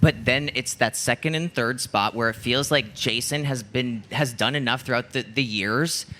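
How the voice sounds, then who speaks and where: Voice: mid-range voice, normal speed, bright, forceful tone, a little hiss or hum. Casual podcast host, informal storyteller. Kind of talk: casual live podcast chat about figure skating. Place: live show in front of an audience.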